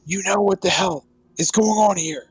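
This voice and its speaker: A man's voice: high-pitched, moderate pace, slightly rough, no room sound, soft background music, voice pushed up, rough recording. A man voicing an angry orphaned teenage boy who is blunt, insistent and accusatory. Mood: angry, demanding. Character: angry, demanding orphaned teenage boy confronting his friend.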